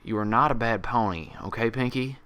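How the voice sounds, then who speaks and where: A man's voice: mid-range voice, brisk, a bit bright, smooth, natural voice. A man performs a protective female cartoon pony who works as a doctor. The voice is firm and emphatic, with a folksy, reassuring way of talking suited to a dramatic story reading. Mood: tender reassurance. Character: firm, protective mare doctor, exasperated yet caring.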